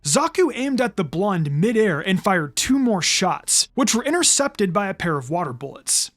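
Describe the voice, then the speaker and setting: A man's voice: sits high, sing-song, moderate pace, fairly bright tone. A man reading fan fiction aloud as a dramatic story narrator.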